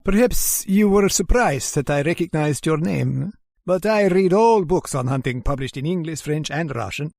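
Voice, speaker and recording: A man's voice: a little high, lively intonation, normal speed, creaky, darkish tone, dry recording, studio quality. A man playing a genteel, menacing aristocratic general and hunter in a radio drama, courtly, formal and theatrical in manner. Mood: sinister relish. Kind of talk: old-time radio drama acting.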